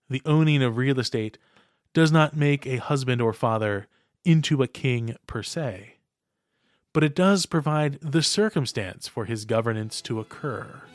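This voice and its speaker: A man's voice: medium pitch, no room sound. Erudite man, close dry sound. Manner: measured, erudite, formal phrasing. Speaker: podcast host. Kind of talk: scripted essay narration.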